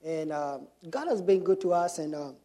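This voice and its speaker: A middle-aged man from Kenya with a Kenyan accent, telling his testimony to a church congregation in an unhurried storytelling way, with many pauses and fillers. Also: on the high side, animated. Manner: warm storytelling with frequent fillers. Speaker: missionary speaker.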